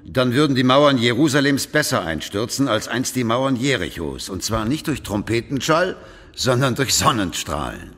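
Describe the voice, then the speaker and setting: An actor playing a commanding, pious, aging medieval emperor in a German radio drama, imperious and deliberate, with a husky voice. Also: male voice, medium pitch, very animated, a bit bright. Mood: pleased, scheming. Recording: long reverberant stone-hall echo.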